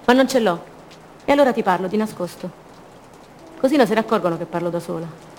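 An actress playing a lonely, wounded woman longing for her love, in an intimate, lyrical radio theatre monologue of short measured phrases. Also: medium pitch, animated, a little hiss or hum, close to an everyday voice, low-quality recording. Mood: secretive, yearning.

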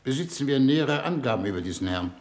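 A man acting a shrewd government minister in charge of a space mission, in a vintage 1950s radio play. His delivery is formal and measured, with a medium-pitched voice. He carries authority and questions people with grave care. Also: lively intonation, close to an everyday voice. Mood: businesslike, probing.

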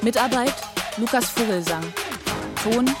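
A woman with a fairly high voice announces radio drama credits. Her delivery is slow, measured and list-like, and prominent music plays behind her.

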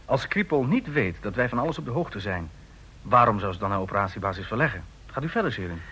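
An actor in a Dutch radio play voicing a courteous, authoritative army general with a formal, commanding manner. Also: male voice, lowish voice, sing-song, fast, sharp tone, husky, heavily acted. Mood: thoughtful.

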